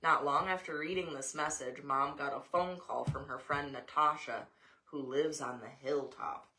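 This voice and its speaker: A woman's voice: low voice, fairly even intonation, moderate pace, sharp tone. An American woman reading a story aloud, with casual asides along the way. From Montana, USA.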